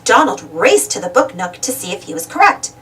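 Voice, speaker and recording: A woman reading a children's storybook aloud in an expressive, dramatic storytelling manner. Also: a little high, a little fast, recorded from a distance. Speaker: storybook reader. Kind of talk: animated children's read-aloud narration.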